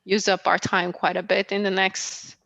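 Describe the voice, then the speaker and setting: A woman's voice: mid-range voice, level tone, moderate pace, fairly bright tone, dry recording, dull audio. A woman from Brazil, not a native English speaker, answering questions after her talk in a casual, hesitant way with many fillers. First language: Portuguese. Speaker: guest speaker.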